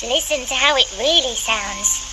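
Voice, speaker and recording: A woman playing an inquisitive royal lady, theatrical and expressive, for a children's storybook. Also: sits high, a little slow, rough recording. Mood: inquisitive, instructing. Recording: music under the voice.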